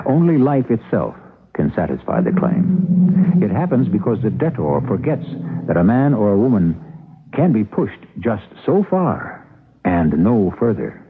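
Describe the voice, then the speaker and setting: A man hosting a radio mystery, narrating in an ominous, dramatic manner with deliberate phrasing. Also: fairly low pitch. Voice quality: gravelly, husky. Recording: tinny, slightly noisy radio broadcast.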